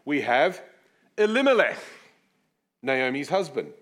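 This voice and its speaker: A man's voice: fairly high pitch, slow. A man preaching a teaching sermon. He explains scripture in a chatty way, with asides that poke fun at himself. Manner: animated, swooping emphasis on key words; chatty, with self-deprecating asides. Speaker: preacher teaching a series on a Bible book. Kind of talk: sermon with Bible teaching and prayer.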